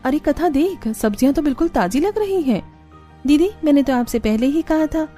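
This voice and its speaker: A woman acts out a cheerful young village daughter-in-law for a cartoon moral story. She sounds earnest and resourceful, pitched a little high, with a gently mellow edge. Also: expressive, moderate pace, soft background music. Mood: pleased.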